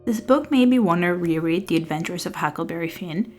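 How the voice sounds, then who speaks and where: A woman's voice: on the low side, animated, fairly quick, darkish tone, soft background music. A woman whose first language is Albanian, talking casually through book reviews with some fillers and restarts, partly reading out summaries. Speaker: book vlogger.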